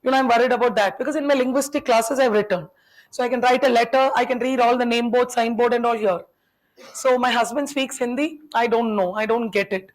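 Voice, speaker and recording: A woman's voice: a little high, steady tone, brisk, poor audio. A young adult woman whose first language is Tamil, teaching a classroom lecture. She keeps firing questions at her students and teases them in a chatty way.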